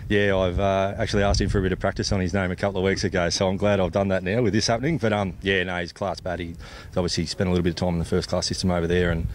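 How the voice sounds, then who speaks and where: A man's voice: deep voice, level tone, rapid-fire, few pauses. An Australian man, a cricket captain, giving a colloquial, laid-back sports interview outdoors. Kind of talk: post-play sports interview. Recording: clear background noise, outdoor ambience.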